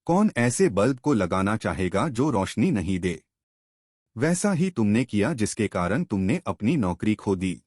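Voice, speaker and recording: A man voicing an old factory technician, a wise and firm mentor giving measured instruction in a moral story. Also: on the low side, big pitch swings, darkish tone, dry recording, studio quality. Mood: instructive.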